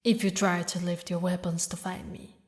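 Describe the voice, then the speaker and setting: A woman voicing a haughty, mocking demon queen who mourns a lost lover, speaking in slow, theatrical, regal phrases for an audio roleplay. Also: on the low side, level tone, darkish tone, lightly acted. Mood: mocking, menacing. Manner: slow, taunting, regal, with dramatic pauses. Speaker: villainous ruler addressing a knight.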